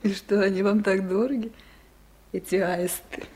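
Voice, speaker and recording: A woman playing a caring younger village mother in a 1970s radio play, speaking softly and politely. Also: medium pitch, fairly even intonation, normal speed, close to an everyday voice. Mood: tender.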